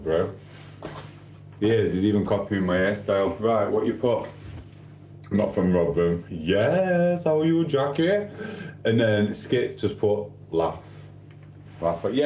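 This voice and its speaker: A man's voice: on the low side, darkish tone, roomy sound, a little hiss or hum. A middle-aged man from Sheffield with a Yorkshire accent, chatting and mock trash-talking through a late-night live stream from his kitchen. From Sheffield, England. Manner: banter-heavy, mock trash-talking, rambling. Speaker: livestreamer.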